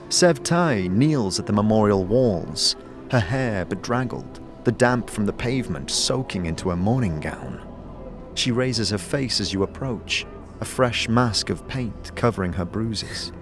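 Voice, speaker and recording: A man reading scripted second-person fantasy narration as the storyteller of an audio drama. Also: on the low side. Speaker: narrator and protagonist's voice.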